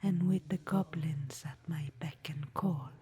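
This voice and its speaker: A woman voicing an aloof ruler of a deep underground people, speaking in a formal, archaic whisper. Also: unhurried, dark tone, breathy edge, exaggerated voice. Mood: solemn.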